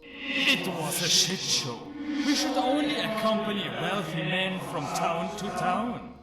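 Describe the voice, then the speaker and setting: Guy doing a dead merc ghost for a game, wry and tired of it all, super swingy pitch, kinda far from the mic. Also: expressive. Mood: matter-of-fact. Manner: sardonic, matter-of-fact, unhurried, casually profane. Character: wry, world-weary male ghost mercenary archer, defensive but loyal. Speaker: voiced follower character in a game mod. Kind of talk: scripted game character monologue. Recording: roomy, distant voice under loud music. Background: loud music.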